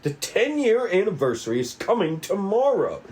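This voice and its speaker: A man's voice: sits high, wildly swooping pitch, normal speed, darkish tone, voice pushed up. A man voicing an earnest, stubborn young detective in a noir cop drama, stammering, deferential and insistent. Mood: defiant, insistent. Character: earnest, stubborn young male detective, fawning with his boss. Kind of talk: 1940s noir cop drama table read.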